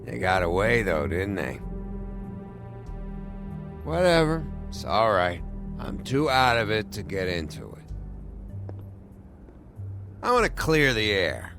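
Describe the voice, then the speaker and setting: A man's voice: on the low side, sing-song, slow pace, long pauses, fairly bright tone, soft background music, exaggerated voice. An actor playing a drugged, belligerent, foul-mouthed man with a sardonic, needling drawl in a video game. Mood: cajoling.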